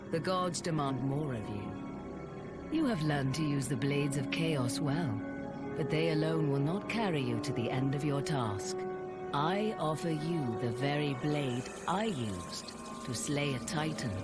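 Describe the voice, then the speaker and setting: A woman playing a stately, commanding goddess in a video game, speaking solemnly and regally over music. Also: low-pitched. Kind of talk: dramatic video game acting. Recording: loud game music behind.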